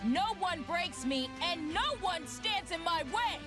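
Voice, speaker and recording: A woman's voice: very high voice, animated, takes it slowly, heavily acted. A woman playing a brash, defiant young armored warrior in a fantasy video game, cocky, snarky and blunt. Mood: cocky, taunting. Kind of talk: video game character voice lines. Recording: game voice lines over loud music. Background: prominent music.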